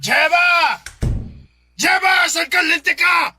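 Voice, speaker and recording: Violent Filipino husband, radio drama, gravelly, swooping, menacing, loud music underneath. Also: male voice, very high-pitched, takes it slowly, heavily acted. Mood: menacing, furious. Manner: menacing, barking. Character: cruel, jealous, domineering violent husband. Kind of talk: Tagalog radio drama acting.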